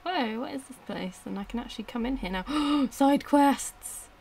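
A woman doing casual let's play commentary, reacting out loud to the game. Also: high voice, expressive, moderate pace, gravelly. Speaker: gamer commentating own play. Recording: loud game noise behind. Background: video game sounds.

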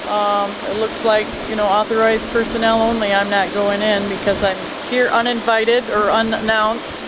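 A woman's voice: medium pitch, flat delivery, moderate pace. A woman narrating casually with a handheld camera outdoors at a wind turbine, talking plainly with small hesitations. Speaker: amateur videographer. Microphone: handheld camera mic. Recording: wind-buffeted outdoor camera audio with loud engine noise. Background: diesel truck idling and wind.

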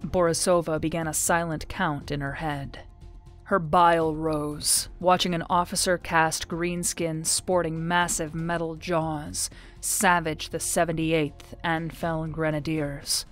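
A woman narrates dramatic fiction in a low voice. She takes her time with the scripted prose, reading as an audiobook performer over faint music. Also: flat delivery, slow pace. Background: music behind the voice.

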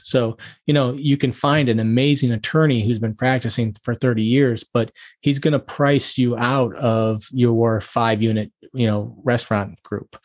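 A man's voice: medium pitch, a little mellow. A man speaking as a guest attorney in a podcast interview, laying out advice methodically with strings of questions. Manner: methodical, lists questions one after another. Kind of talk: interview answers, advising.

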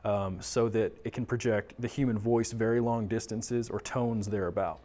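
A man's voice: lowish voice, fairly even intonation, normal speed, mellow. An American man in his thirties presenting a casual, conversational product explainer, often posing questions and then answering them. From Texas, United States. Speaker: tech talk presenter explaining audio products. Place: small indoor studio office, seated or standing at a table.

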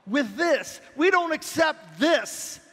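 Flustered car salesman as a preacher puts him on, apologetic, pitched up high, in a reverberant church. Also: animated, takes it slowly, fairly bright tone, full character voice. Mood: exasperated. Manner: apologetic, insistent. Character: flustered, overly polite male car salesman.

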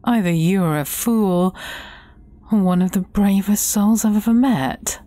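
A woman playing a menacing evil sorceress in a fairy-tale audio drama, speaking theatrically and often slipping into breathy whispers. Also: medium pitch, measured pace, mellow, dry recording, natural voice. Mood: amused, ominous.

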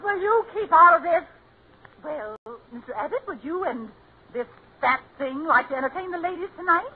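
A woman's voice: very high-pitched, animated, normal speed, slight background noise. An American woman playing a haughty, easily offended club wife, indignant and gushing, in 1940s radio comedy. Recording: tinny 1940s radio recording, audience behind.

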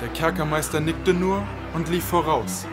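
A man's voice: on the high side, moderate pace. A man narrating an audio drama as a scripted, suspenseful story in a portentous manner. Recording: loud music under the voice.

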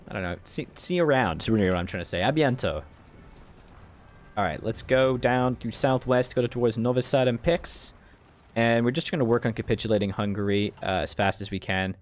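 A man in his thirties with a gravelly voice, livestreaming a strategy game from home, chatty and joking while reading chat and thanking followers. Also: mid-range voice. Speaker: streamer. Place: home streaming room, indoors.

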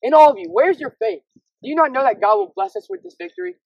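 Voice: high-pitched, sing-song, quick pace, creaky, no echo, dull audio, exaggerated voice. A performer playing a bold, indignant young shepherd boy, earnest and declamatory, in an amateur Bible story.

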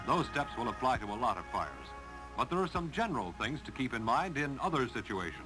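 Male American narrator, 1950s instructional style, emphatic and animated, vintage film sound with music. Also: mid-range voice, rough recording.

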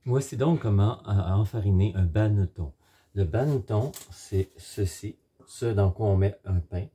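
A middle-aged man giving a step-by-step cooking demonstration in a home kitchen, explaining in short, halting instructional phrases. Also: on the low side, dark tone, husky. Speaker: home baker presenting a recipe.

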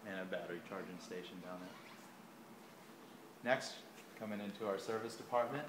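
Man, 30s, casual shop-tour guide, low voice, echoey warehouse with a faint hum. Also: normal speed. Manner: lists items while walking, filming handheld. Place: large indoor parts warehouse and shop. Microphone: handheld phone camera.

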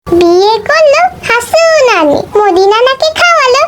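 A cheeky little cartoon grandchild. The voice is extremely high and squeaky, sugary and teasing, with loud noise in the recording. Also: big pitch swings, moderate pace. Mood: fawning. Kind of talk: comic cartoon dialogue.

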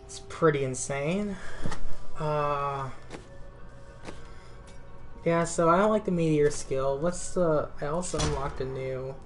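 A woman's voice: low-pitched, slow pace, pauses often. A woman streamer chatting casually while playing a co-op video game. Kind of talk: casual co-op gameplay chat. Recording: loud game music behind. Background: video game music.

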